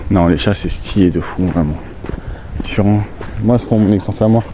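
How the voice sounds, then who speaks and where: A man's voice: sits low, moderate pace, background noise. Man with a stuffy nose, chatting outdoors. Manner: chatty, relaxed, rambling asides. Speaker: vlogger on a nature walk. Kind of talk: casual walking vlog to camera. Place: outdoors in the countryside.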